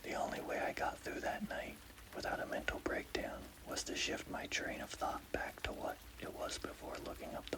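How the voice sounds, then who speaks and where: A man narrating a scary story as ASMR in a breathy, unvoiced whisper. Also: rough recording.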